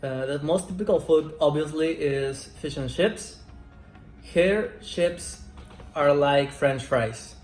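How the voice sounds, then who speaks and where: Slow, careful man in his twenties. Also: on the high side, darkish tone. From Mexico. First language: Spanish. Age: 20s. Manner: slow, careful non-native English. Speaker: interviewee. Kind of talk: student interview answers. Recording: roomy echo, faint music.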